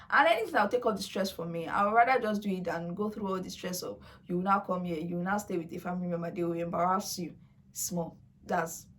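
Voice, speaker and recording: A woman's voice: medium pitch, slight background noise. Chatty Yoruba-speaking woman vlogger. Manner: chatty and coaxing, with playful pidgin-flavoured asides. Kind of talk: casual talk to camera.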